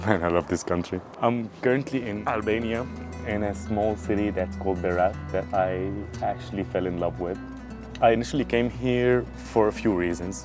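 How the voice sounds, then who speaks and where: A thirty-year-old man from Syria, talking earnestly and thoughtfully about his life in a personal vlog, recorded outdoors. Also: fairly low pitch. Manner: earnest, thoughtful, self-searching. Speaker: vlogger. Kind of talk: reflective personal monologue. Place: outdoors in a small town. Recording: guitar music playing underneath.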